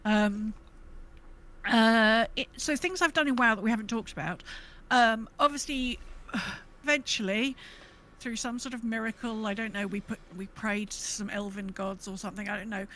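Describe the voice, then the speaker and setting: A woman's voice: a little high, normal speed, sharp tone. A woman co-hosting a casual gaming podcast, chatting in an informal, upbeat way. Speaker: podcast co-host.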